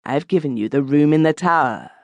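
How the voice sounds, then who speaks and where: An ominous mother and hostess from a ghost story audiobook, voiced by a male narrator. She makes grave, formal pronouncements in a deliberate way. The sound is dry, with no room around it. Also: on the high side, gravelly, dry recording, dull audio. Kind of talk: audiobook character quote.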